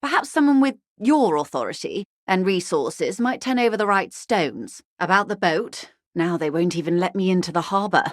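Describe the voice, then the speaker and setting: A woman plays an older, well-bred corporate negotiator from a video game. Her voice is on the low side and recorded very dry and close. She is shrewd and unfailingly courteous, with refined, measured phrasing and a dry wit. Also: expressive, no room sound, natural voice. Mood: grave, dry. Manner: composed, courteous, dryly witty, measured diplomatic phrasing. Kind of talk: video game character dialogue.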